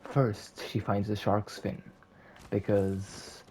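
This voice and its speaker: A woman with a very low, mellow voice tells an improvised comic story. She goes slowly in short deadpan phrases, over faint noise and clicks.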